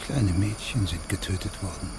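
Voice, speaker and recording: An actor playing a proud, sceptical middle-aged judge in a German radio play, with a terse, dry, questioning manner. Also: male voice, low voice, normal speed, heavy background noise. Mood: uneasy, defensive.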